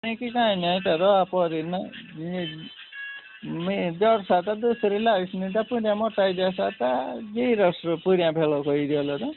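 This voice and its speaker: A man with a creaky voice talking casually on a phone call in a rambling, repetitive way. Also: high-pitched, normal speed. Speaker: prank call participant. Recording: narrow phone line with faint noise.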